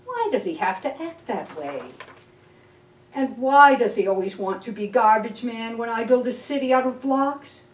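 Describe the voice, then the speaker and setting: A woman reading a children's picture book aloud as a library storyteller, with expressive, deliberate delivery. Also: on the high side. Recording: roomy echo, distant mic.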